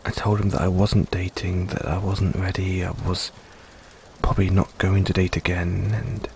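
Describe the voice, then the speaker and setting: A man playing an affectionate, self-doubting middle-aged dad in an intimate audio roleplay, speaking hesitantly and tenderly with gentle teasing. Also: low-pitched, level tone, normal speed, vocal fry. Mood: anxious, hesitant. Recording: rain-like ambience noise behind.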